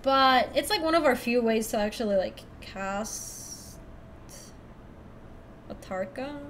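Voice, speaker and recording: A young woman from Ecuador chatting casually through a card game stream, thinking out loud and laughing often. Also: a little high, animated, slow, a little hiss or hum. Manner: chatty, giggly, thinks aloud to chat. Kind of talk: casual live-stream commentary.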